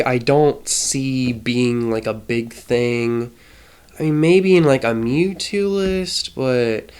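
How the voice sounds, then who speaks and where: Guy with a stuffy cold voice going slow through his card deck, lots of ums. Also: medium pitch, clear, resonant tone. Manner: hesitant, frequent ums and restarts. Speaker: trading card game content creator. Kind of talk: casual deck-building explanation.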